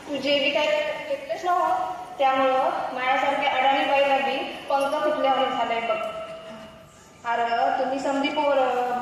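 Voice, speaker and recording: Woman playing high-voiced rustic village aunt, echoey hall. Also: lightly acted. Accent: rural Maharashtrian. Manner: rustic, exclamatory, chatty address. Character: simple unschooled village aunt, warm, humble, grateful. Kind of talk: devotional stage skit. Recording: echoey hall, distant mic, faint noise.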